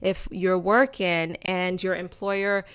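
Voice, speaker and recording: Chatty Jamaican-accented woman, low smooth voice. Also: flat delivery, slow pace, a bit bright, no echo, studio quality. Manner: chatty and informal, addresses viewers as friends and guys, many ums. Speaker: host of a channel explaining news to viewers. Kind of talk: casual explainer presentation over slides.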